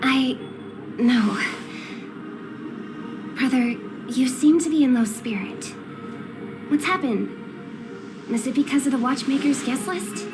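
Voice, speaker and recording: A gentle noble sister in a video game, speaks in a high, unhurried voice. She sounds caring and hesitant, with music playing behind her. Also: animated. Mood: gentle concern. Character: gentle, concerned younger sister of a noble family. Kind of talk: scripted dramatic video game cutscene dialogue.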